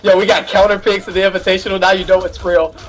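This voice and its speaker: A man's voice: high voice, level tone, fast, soft background music, rough recording. A man doing loose, joking play-by-play commentary on a fighting game tournament. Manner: hype, loose, jokes with co-caster. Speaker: esports commentator.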